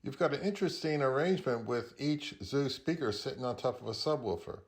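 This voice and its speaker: A man's voice: medium pitch, animated, fairly quick. A man hosting a casual interview, asking questions in everyday conversational speech. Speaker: host, interviewer.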